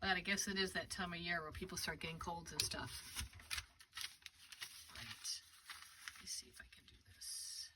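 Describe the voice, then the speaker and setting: A woman's voice: fairly low pitch, fairly even intonation, normal speed, pauses often. A congested woman chatting and thinking aloud as she demonstrates a craft project live. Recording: distant mic with background noise.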